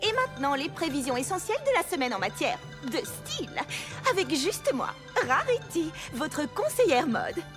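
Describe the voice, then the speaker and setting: A woman plays a glamorous teenage cartoon fashionista with a very high, swooping voice. She stretches her words in an affected, posh way, with faint music behind her. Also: sing-song, normal speed. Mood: poised, showy. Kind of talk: French cartoon fan dub.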